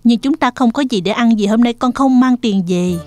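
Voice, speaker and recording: A woman voices a poor, gentle mother in an animated fairy tale. Her voice is somewhat high. Music plays faintly behind her. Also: brisk, lightly acted. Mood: concerned.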